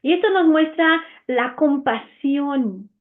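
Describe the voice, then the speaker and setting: Italian-accented woman teaching scripture, high. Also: slow, phone-quality sound. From Romania. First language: Romanian. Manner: earnest, with frequent "eh" fillers and restarts. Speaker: Bible teacher. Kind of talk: Bible teaching.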